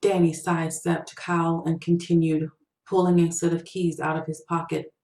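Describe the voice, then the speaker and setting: A woman narrates a piece of short fiction as its author. Her voice sits low for a woman. The sound is roomy, with noticeable echo around the words. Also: level tone, moderate pace, darkish tone. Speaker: author reading own story.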